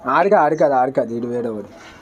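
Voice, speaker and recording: A man's voice: mid-range voice, animated, fairly quick, lo-fi sound, slight background noise. A man in a casual phone chat, talking in a coaxing, insistent way. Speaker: prankster introducing his friend.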